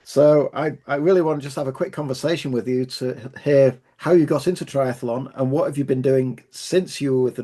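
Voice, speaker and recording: A man's voice: medium pitch, warm tone. A man hosting an interview podcast, friendly and casual, often restarting his sentences. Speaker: podcast host.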